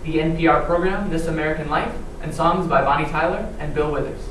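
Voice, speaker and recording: A woman performer playing an earnest summer camp narrator in a measured, projected stage recitation. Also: low voice, recorded from a distance, natural voice. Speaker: readers theater performer. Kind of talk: theatrical ensemble recitation. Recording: roomy stage from afar, hiss.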